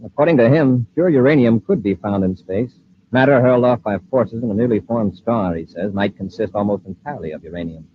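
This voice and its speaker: Casting: man playing authoritative space patrol commander, fast delivery, radio-serial style, muffled old recording. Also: lowish voice, a little hiss or hum, close to an everyday voice. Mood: thoughtful. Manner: authoritative, deliberate, explanatory.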